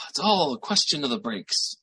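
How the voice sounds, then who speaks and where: An actor playing a boastful, slangy small-time schemer boyfriend in 1940s radio sitcom style, with a raspy voice. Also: male voice, a little high, big pitch swings, moderate pace, sharp tone, heavily acted, low-quality recording. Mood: self-pitying. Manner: slangy, bragging, theatrical hustler patter.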